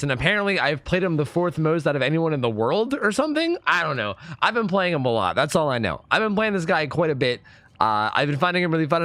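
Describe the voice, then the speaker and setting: A young man with a hoarse, partly lost voice, chatting casually as a gaming YouTuber explaining his build. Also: on the high side, a bit bright, dry recording. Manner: chatty, fast and filler-heavy. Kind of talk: casual gameplay commentary.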